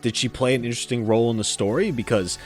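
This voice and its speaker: A man gives casual gaming reaction commentary in a mid-pitched voice. He rambles along in a chatty, self-deprecating way, with faint music behind him. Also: fairly even intonation, fast talker. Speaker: reaction video host.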